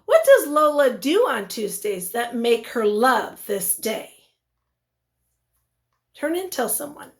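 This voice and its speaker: A woman teacher reading a picture book aloud to young children, expressively, pausing to put questions to them. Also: on the high side, big pitch swings.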